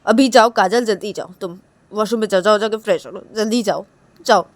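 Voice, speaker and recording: A woman's voice: medium pitch, brisk, no echo, voice pushed up, close to an everyday voice. Woman acting an agitated, scolding, caring young woman. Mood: urgent, concerned. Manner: agitated, insistent, repeats phrases over and over. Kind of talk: improvised dramatic storytelling dialogue.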